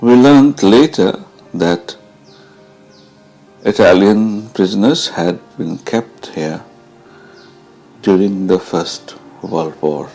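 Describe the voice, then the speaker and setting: Slow, low-voiced male memoir narrator. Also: creaky, dark tone, slightly rough, soft background music, low-quality recording. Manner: measured, deliberate, with pauses between phrases. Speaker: narrator reading a memoir in the first person. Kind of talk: dramatised scripted narration.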